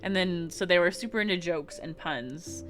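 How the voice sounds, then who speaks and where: A woman's voice: on the low side, normal speed, fairly bright tone, soft background music. A woman with an American West Coast accent, chatting casually with her viewers on a game stream, giggling and rambling with many restarts. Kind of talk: casual chat reading live chat during a game stream.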